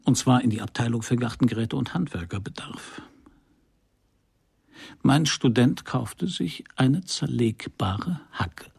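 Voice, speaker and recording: An actor playing a wry, self-assured Parisian private detective in a radio crime drama, speaking dryly in terse, laconic sentences. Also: male voice, medium pitch, unhurried, gravelly, a little mellow, breathy edge, full character voice. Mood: dry, brooding. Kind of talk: first-person radio crime drama narration and dialogue.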